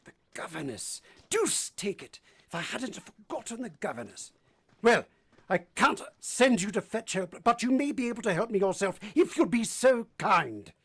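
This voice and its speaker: A bossy blunt english gentleman in an old time radio play, voice swoops up and down a lot. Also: high voice, big pitch swings, moderate pace, breathy edge, full character voice. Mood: brusque, inquisitive. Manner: imperious, blunt, questioning. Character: brusque, commanding middle-aged English gentleman, master of the house. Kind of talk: vintage radio drama acting.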